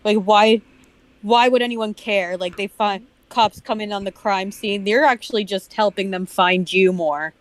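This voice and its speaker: Female co-host, average pitch, blunt sarcastic commentary, crude humour, dry recording. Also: measured pace, dry recording, rough recording. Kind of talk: casual group chat and commentary.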